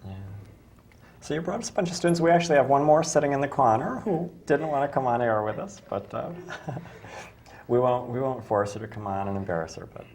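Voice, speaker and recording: A woman hosting a talk show, hoarse from allergies, chatting casually and often restarting her sentences. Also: extremely low pitch, fairly quick, a little mellow, a little hiss or hum. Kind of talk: casual studio chat.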